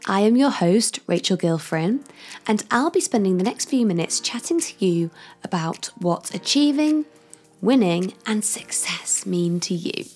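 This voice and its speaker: A woman hosting her own podcast as a career coach, talking in a chatty, upbeat way straight to the listener and laughing often, her voice still slightly croaky from a cold. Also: medium pitch, expressive, measured pace, darkish tone, soft background music. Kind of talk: casual solo podcast monologue.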